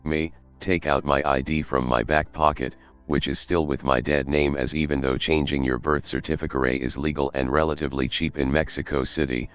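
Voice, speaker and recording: A man narrating a Reddit story in a steady, even read. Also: a bass voice, smooth. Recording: faint background music.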